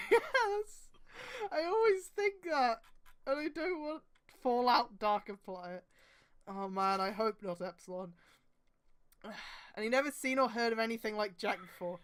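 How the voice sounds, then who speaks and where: A woman's voice: on the high side, expressive, a little slow, pauses often. A woman streamer reading fan fiction aloud, with casual, profane, exasperated asides and a mocking dramatic delivery. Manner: exasperated outbursts, often breaking into laughter and shouting.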